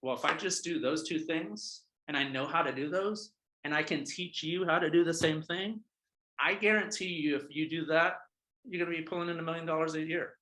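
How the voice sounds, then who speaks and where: A man's voice: fairly high pitch, a little fast, pushed and bright, a little hiss or hum, low-quality recording. A man in his thirties coaching other realtors in a casual, emphatic motivational talk, repeating his points and checking in with the listeners. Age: 30s. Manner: emphatic, repeats points, often adds "all right?". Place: office.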